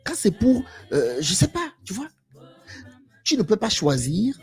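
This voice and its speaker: A man's voice: high-pitched, huge pitch swings, measured pace, a little mellow, breathy edge, faint music behind. A man giving an impassioned live monologue to viewers, emphatic and preachy, repeating phrases and asking rhetorical questions. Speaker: live stream speaker.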